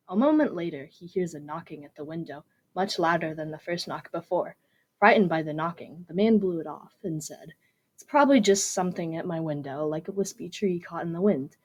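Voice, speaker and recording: A woman's voice: fairly low pitch, a little mellow. A woman reading a scripted story aloud as narrator.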